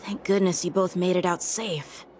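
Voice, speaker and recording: A woman's voice: lowish voice, steady tone, moderate pace, darkish tone, a little hiss or hum, lightly acted. A woman voicing an earnest, dutiful teenage boy knight lieutenant in a game, speaking formally and conscientiously. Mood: relieved concern. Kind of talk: acted game story dialogue.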